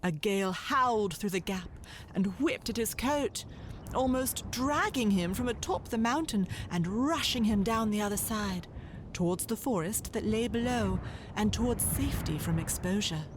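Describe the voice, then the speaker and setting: A woman's voice: medium pitch, animated, moderate pace, noisy background. A woman giving scripted storybook narration as the narrator of an audio drama. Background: loud music.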